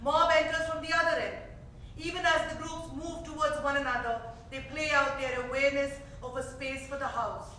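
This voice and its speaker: A woman's voice: high-pitched, level tone, moderate pace, bright, forceful tone, recorded from a distance. A woman reading scripted stage directions as the narrator of a staged play. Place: theater stage. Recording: echoey theater recorded from afar, faint noise.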